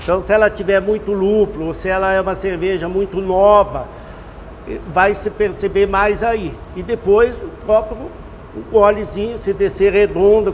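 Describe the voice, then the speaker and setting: Man, sixties, high pitched, folksy and instructive, reverberant space. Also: slight background noise. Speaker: master brewer. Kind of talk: explanatory interview answers.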